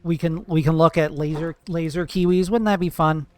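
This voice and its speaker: A man's voice: fairly high pitch, steady tone, normal speed. A man streaming live, chatting casually and profanely with his viewers while gaming, playful and teasing.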